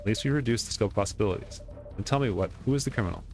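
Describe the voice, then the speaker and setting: A man with a lowish voice reads game dialogue aloud at a quick clip. He trips over the odd word and corrects himself as he goes. Faint game music runs behind him. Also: darkish tone. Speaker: let's play streamer. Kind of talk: reading game dialogue aloud during playthrough.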